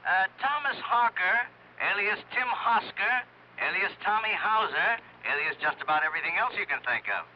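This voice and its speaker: An earnest police sergeant gives urgent cautions in a high-pitched voice. The 1950s radio drama has a dulled sound with faint noise. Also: male voice, expressive, moderate pace. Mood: urgent, warning. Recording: dull, faintly noisy old radio recording.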